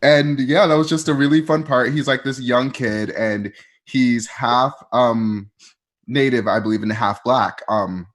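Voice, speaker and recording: A man's voice: mid-range voice, unhurried. A man speaking as an interview guest, casual, earnest and informal. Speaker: voice actor, guest.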